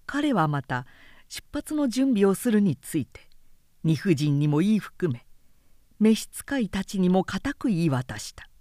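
A woman narrating a literary work as a scripted reading, in a measured, expressive storytelling manner. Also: medium pitch, no echo, clean studio sound.

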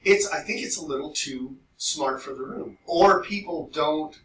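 A man co-hosting a podcast in casual, rambling chat, telling things in an animated way and swearing freely. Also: on the high side, measured pace. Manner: animated storytelling, frequent swearing. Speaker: podcast co-host. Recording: roomy echo, distant mic, faint noise.

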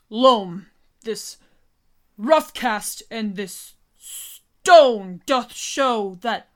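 Woman as a very slow, earnest amateur player. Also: fairly high pitch, a bit bright, smooth, heavily acted. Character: simple, earnest amateur player presenting himself as a wall. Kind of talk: Shakespeare radio play comedy.

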